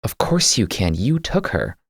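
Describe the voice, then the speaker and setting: A man's voice: on the low side, wildly swooping pitch, measured pace, creaky, breathy edge, no echo, clean studio sound, pitched above the performer's own voice, heavily acted. A man voicing an indignant, confrontational woman, a protective older sister, in an audiobook. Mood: demanding. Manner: confrontational, pressing. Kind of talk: audiobook character dialogue.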